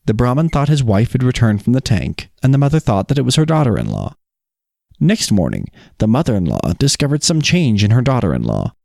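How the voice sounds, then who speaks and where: A man's voice: medium pitch, big pitch swings, brisk, gravelly, a little mellow, dry recording, clean studio sound. A man co-hosting a folk tale podcast, talking and telling stories. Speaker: podcast co-host. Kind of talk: casual chat and storybook reading of folk tales.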